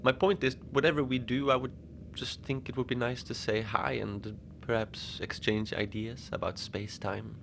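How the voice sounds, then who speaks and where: A man playing a lonely, gentle Antarctic facility manager, rambling hesitantly and apologetically in a cozy fiction podcast monologue. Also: medium pitch, little pitch movement, moderate pace, vocal fry, few pauses, a little hiss or hum. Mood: wistful, friendly. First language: Norwegian. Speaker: podcast narrator.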